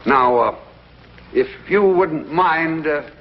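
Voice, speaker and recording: A man with an American accent playing a courteous, hesitant government official in an old TV drama, speaking formally and haltingly. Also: fairly high pitch. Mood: measured, courteous. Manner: halting, deliberate, formal and polite. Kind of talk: mid-century American TV drama acting. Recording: dull, noisy vintage TV soundtrack.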